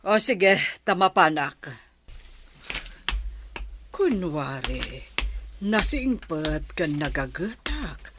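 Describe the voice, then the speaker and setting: A woman's voice: lowish voice, big pitch swings, extremely slow, long pauses, noisy background, full character voice. A woman with an Ilocano accent playing an irritable, scheming village wife in a comedy radio drama.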